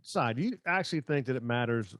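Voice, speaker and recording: A man's voice: mid-range voice, animated, fast, fairly bright tone, no echo, studio quality. An American man, middle-aged, hosting a casual sports podcast chat.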